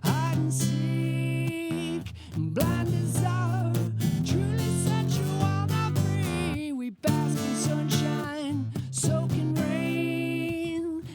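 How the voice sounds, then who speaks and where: A woman's voice: very high-pitched, steady tone, drawn-out words. A woman singer performing a song with guitar accompaniment. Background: acoustic music, guitar.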